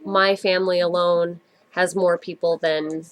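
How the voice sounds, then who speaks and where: A woman's voice: fairly low pitch, little pitch movement, measured pace. A woman in her thirties from the United States, hosting her own podcast in a casual, rambling way with lots of ums, her nose stuffed up from a cold. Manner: rambling, many ums and self-corrections. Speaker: podcast host. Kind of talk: casual solo podcast chat.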